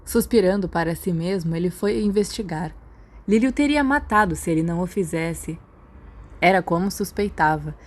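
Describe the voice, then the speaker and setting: A woman's voice: fairly low pitch, expressive, normal speed. A woman with a Brazilian accent reading a story as a scripted audiobook narrator. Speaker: podfic narrator.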